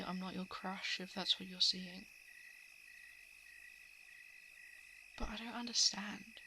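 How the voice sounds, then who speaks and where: A woman plays a female shapeshifting monster in an ASMR roleplay, guarded and coolly predatory. Her pitch is average for a woman, and she slips into hushed asides. Crickets and frogs sound clearly behind her. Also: natural voice. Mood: reluctant, exasperated. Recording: clear background noise of night insects, frogs. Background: crickets, frogs and night insect ambience.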